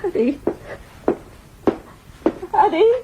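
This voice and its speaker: A woman's voice: very high-pitched, expressive, drawn-out words, dark tone, a little hiss or hum, heavily acted. Defiant, emotional young woman. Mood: pleading. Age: young adult. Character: defiant young niece, wounded, jealous and emotional. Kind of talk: radio drama acting.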